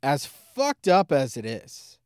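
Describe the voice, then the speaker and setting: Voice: medium pitch, takes it slowly, clear, resonant tone, no room sound. Podcast co-host ranting about a weird movie, swears a lot, voice swings up and down, dry sound. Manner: animated, swearing freely. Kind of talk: casual film review chat.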